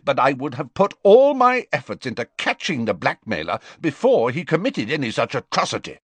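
A man reading aloud and voicing an irritable middle-aged prime minister who speaks in a sharp, indignant way. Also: mid-range voice, wildly swooping pitch, normal speed, breathy edge, no echo, voice pushed up. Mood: furious.

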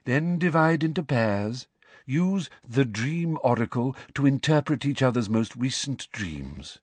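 A misty, dreamy schoolmistress of divination played by a man for an audiobook. The voice is airy and lofty and drifts widely in pitch, trailing off delicately, in a dry close recording. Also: very animated, a little slow, no room sound. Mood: airy, reluctant. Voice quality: misty, breathy delivery.

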